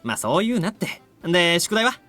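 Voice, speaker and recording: Cocky teenage buddy for a visual novel: very fast, lively, swinging pitch. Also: male voice, heavily acted. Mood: brash teasing. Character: brash, teasing male high school student, the hero's buddy. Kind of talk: Japanese visual novel voice acting.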